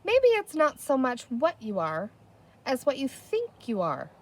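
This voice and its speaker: A thoughtful young girl from a storybook voiced by a woman. Her high voice ponders and hesitates, with cautious but varied intonation. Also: huge pitch swings, measured pace, heavily acted. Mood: pondering.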